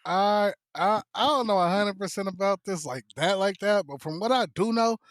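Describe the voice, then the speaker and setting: A man's voice: high voice, normal speed, dry recording, clean studio sound. A man in his thirties co-hosting a casual podcast, joking and loose. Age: 30s. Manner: joking, loose, laughs often. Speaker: podcast co-host.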